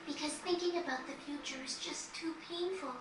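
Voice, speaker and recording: A very high, girlish voice from an actress plays a gentle, sincere young girl with magic in a video game cutscene. She speaks earnestly and softly. The capture is roomy, distant and noisy. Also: fairly even intonation, brisk, close to an everyday voice. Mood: tender, wistful.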